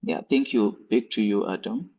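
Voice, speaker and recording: A man giving a brief informal report as a workshop facilitator, in short, halting phrases over low-fidelity call audio. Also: lo-fi sound. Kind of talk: informal spoken session report.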